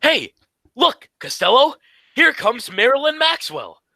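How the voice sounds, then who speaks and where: A man acts out a bossy straight man from a radio comedy duo. His voice is very high, bright and swooping. He is brisk and scolding, forever exasperated with his partner. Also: sing-song, creaky, dry recording, clean studio sound, full character voice. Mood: eager. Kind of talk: radio comedy sketch acting.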